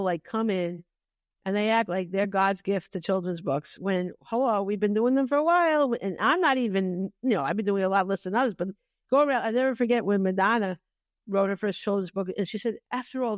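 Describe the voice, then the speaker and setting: A woman podcast host talks in a casual, opinionated style at a middling pitch. She sounds exasperated and throws out rhetorical questions. The sound is close and dry, with no room echo. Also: animated, fairly quick.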